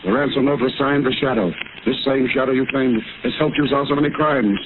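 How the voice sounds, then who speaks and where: An actor playing a hot-tempered, demanding city mayor with forceful, oratorical delivery in 1930s radio drama style. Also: male voice, mid-range voice, fairly even intonation, fast talker, natural voice. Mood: grave. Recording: scratchy, noisy, tinny 1930s radio recording.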